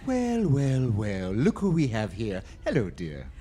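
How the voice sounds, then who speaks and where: Man playing an excitable, wildly animated store Jack Frost. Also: medium pitch, unhurried. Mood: jolly, kindly. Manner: theatrical, fussy, stammering when nervous. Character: excitable, kindly male store Jack Frost, easily spooked. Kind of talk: radio drama acting.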